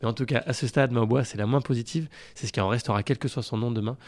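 A man's voice: mid-range voice, quick pace. A man speaking as a podcast guest, earnest and enthusiastic, often repeating words and restarting his phrases. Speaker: podcast guest, company founder. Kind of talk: casual podcast talk.

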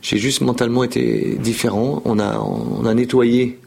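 Gravelly, husky man in his fifties. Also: mid-range voice, fairly even intonation, normal speed, darkish tone. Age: 50s. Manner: rambling, repeats words, many fillers. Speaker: show jumping rider. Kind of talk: casual interview storytelling.

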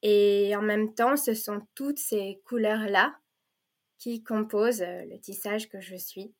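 A woman from Provence who grew up in Belgium speaks as a guest in an easy conversation. She pauses often, fills gaps with small sounds and restarts her sentences. Her tone stays earnest and heartfelt. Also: medium pitch, steady tone. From born in Provence, raised in Belgium. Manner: hesitant, many fillers and restarts, warm and earnest. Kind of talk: casual conversation.

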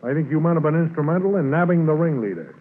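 An actor playing a police sergeant in a 1950s radio drama. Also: male voice, on the high side, animated, quick pace, phone-quality sound, natural voice. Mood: earnest, approving. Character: male police sergeant and cornered narcotics smuggler. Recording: noisy band-limited 1950s radio recording.

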